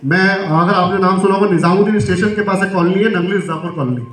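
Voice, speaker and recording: High-voiced man speaking in an echoey hall. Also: fast talker, fairly bright tone, distant mic, faint music behind. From Delhi, India. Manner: engages the audience with questions. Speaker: seminar speaker. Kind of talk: motivational seminar talk. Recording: echoey hall, mic heard from afar.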